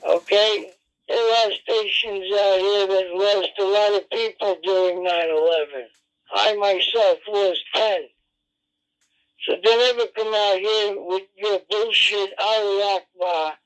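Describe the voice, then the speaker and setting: A man's voice: high-pitched, fairly even intonation, unhurried, fairly bright tone. A man arguing as an amateur radio operator, insistent and combative, repeating himself and swearing over a lo-fi digital radio link. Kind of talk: radio argument. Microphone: radio transceiver microphone. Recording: dull lo-fi digital ham radio transmission.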